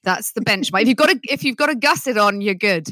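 Bright, lively woman telling her story. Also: medium pitch, fast talker, dry recording. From Netherlands. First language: English and Dutch. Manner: chatty and humorous, laughs often. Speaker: guest. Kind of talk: casual interview conversation.

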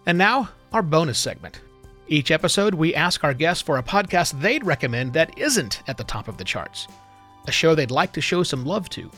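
A man's voice: on the high side, big pitch swings, normal speed, pushed and bright, faint music behind. A man hosting a podcast, delivering scripted announcements straight to the listener. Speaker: podcast host. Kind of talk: scripted podcast outro narration.

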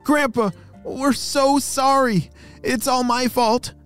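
A man voicing Jackson, a young boy tortoise who speaks in a high, anxious, apologetic way. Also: steady tone, slow pace, fairly bright tone, clear, resonant tone, faint music behind, full character voice.

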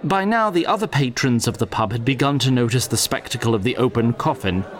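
A man's voice: mid-range voice, lively intonation, a little fast, a faint crowd, natural voice. A man playing a wry, theatrical narrator of a fantasy audio drama, formal and dramatic in his phrasing.

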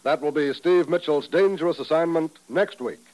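An American man with a fairly high voice works as a 1950s radio announcer. He reads scripted copy in a polished, upbeat sales style on a vintage broadcast recording. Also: normal speed, darkish tone, faint music behind, low-quality recording.

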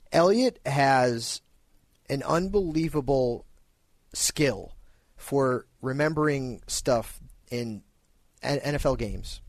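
A man's voice: mid-range voice, no room sound. A man co-hosting a sports radio show, joking with his co-host and giving fantasy football advice. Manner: animated, joking banter.

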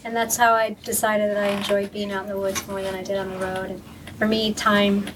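A woman calmly telling how she came to love running in the woods. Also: medium pitch, fairly quick, distant mic, noisy background. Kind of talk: casual personal storytelling.

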